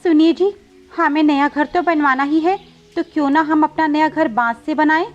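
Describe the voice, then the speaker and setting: Cartoon mother bird, female performer: young, gentle, pleading, high voice over music. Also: normal speed, dull audio, natural voice. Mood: gentle, earnest. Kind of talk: Hindi moral-story cartoon dubbing.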